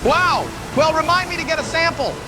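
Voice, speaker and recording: A man's voice: very high voice, expressive, moderate pace, low-quality recording. A man playing an enthusiastic, geeky, wonder-struck ghost-hunting scientist in a motion comic. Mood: amazed. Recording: loud music and crowd behind.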